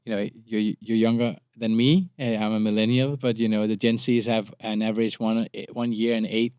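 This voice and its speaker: A man's voice: fairly low pitch, little pitch movement, brisk, a little mellow, clear, resonant tone, no echo, studio quality. A man in his late thirties with a Danish accent, talking informally as a podcast guest and often repeating words as he forms his thoughts. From Denmark. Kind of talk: casual conversational interview.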